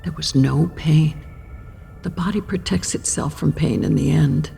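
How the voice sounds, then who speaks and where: An actress playing a solemn, ageless female keeper of death in a horror audio drama, gentle but resolute, with a gravelly, deliberate delivery. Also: sits low, expressive, heavily acted. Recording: faint music underneath.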